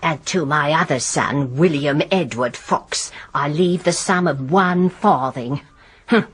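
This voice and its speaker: An actress playing an elderly, sharp-tongued English mother and petty swindler, husky and theatrical, in a radio crime drama. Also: sits low, takes it slowly, exaggerated voice. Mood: grand, spiteful. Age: older.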